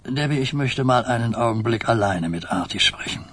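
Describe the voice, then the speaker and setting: An actor playing a grave, weary old mafia boss in a radio crime drama, an older man with a husky voice. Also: mid-range voice, animated, a little fast, a bit bright. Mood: resigned.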